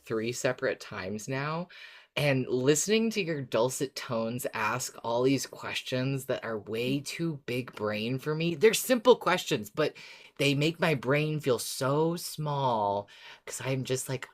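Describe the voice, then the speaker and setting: A woman's voice: rumbling low, expressive, a little slow. A woman co-hosting a podcast with casual, chatty banter. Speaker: podcast co-host.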